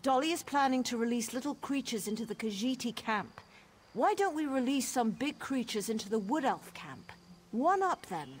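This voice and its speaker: A woman's voice: a little high, sing-song, moderate pace, slight background noise. An actress playing a mischievous elf woman in a fantasy game who plots pranks in a conspiratorial, gleeful way. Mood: scheming. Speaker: quest giver in a fantasy game. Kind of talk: game dialogue.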